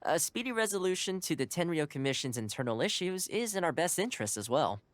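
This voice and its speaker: Obliging young retainer. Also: male voice, fairly high pitch, very animated, fast talker. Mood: weary, obliging. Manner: polite, accommodating. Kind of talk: anime game voice acting.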